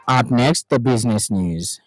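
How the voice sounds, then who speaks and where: A man's voice: medium pitch, measured pace, music in the background, rough recording. A man with a Zambian accent reading scripted radio news as a presenter in a studio.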